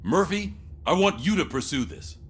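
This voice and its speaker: A fairly high, animated male voice as a no-nonsense precinct boss in a game. He is brisk and authoritative, with room ambience behind him. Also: slightly rough, full character voice. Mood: commanding. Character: commanding, no-nonsense male police chief. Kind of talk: video game cutscene dialogue.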